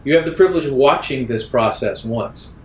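A man working as game master, narrating a tabletop role-playing game deliberately, with dramatic pauses. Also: mid-range voice, animated, echoey room, a little hiss or hum.

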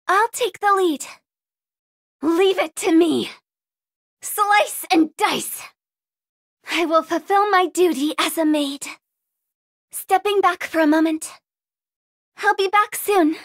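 A woman voicing a gentle, dutiful young maid girl in an anime game, speaking politely and earnestly. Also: extremely high pitch, takes it slowly, pauses often, exaggerated voice. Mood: determined. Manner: polite, earnest, softly formal. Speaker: video game voice actor. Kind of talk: anime game character voice lines.

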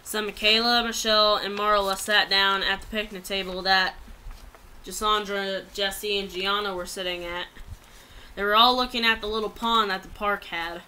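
A woman's voice: mid-range voice, fairly even intonation, moderate pace, pushed and bright, slight background noise, low-quality recording. A young adult woman reads a written story aloud plainly, stumbling over some words, in a small room at home on a laptop microphone. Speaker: amateur writer reading own story.

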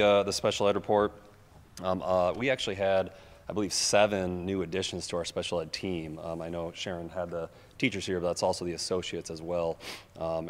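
A man giving a special education report at a school board meeting, casual with frequent fillers, at a standing mic in an auditorium. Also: low-pitched. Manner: casual, self-deprecating, frequent uh and um. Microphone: standing floor mic from a far camera. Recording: echoey hall.

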